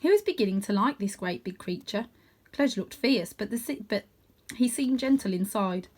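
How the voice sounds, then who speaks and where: A woman reading a children's story aloud in an expressive narrating style. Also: medium pitch, moderate pace, breathy edge. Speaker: storybook reader.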